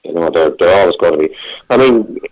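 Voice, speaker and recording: Deep-voiced middle-aged man. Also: animated, fairly quick, no echo, low-quality recording. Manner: rambling, warm self-talk with many restarts. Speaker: singer, interview guest. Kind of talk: casual phone interview.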